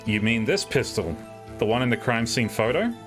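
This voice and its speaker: A man's voice: medium pitch, very animated, normal speed, music in the background, close to an everyday voice. A man voicing a sardonic male defense lawyer with pointed, theatrical questioning in a live game fan dub. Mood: probing.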